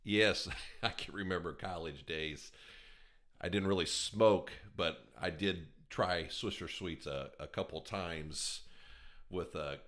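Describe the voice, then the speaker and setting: Male podcast host, deep voice, informal conversational delivery. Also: takes it slowly, a bit bright. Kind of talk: casual chat.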